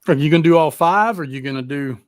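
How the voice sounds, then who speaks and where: A man's voice: a little high, fast talker, no room sound, clean studio sound. An American man co-hosting a rock and metal talk show, chatting casually in a blunt, rambling, sweary way. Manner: blunt, heavily profane, rambling. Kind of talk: casual chat.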